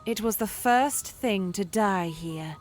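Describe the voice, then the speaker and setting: Slow, eerie, deadpan young woman played by an actress. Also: mid-range voice, faint music behind, natural voice. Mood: ominous, detached. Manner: deadpan, cryptic, deliberate. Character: eerie, cryptic, detached young woman haunting a hospital. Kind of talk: video game voice acting.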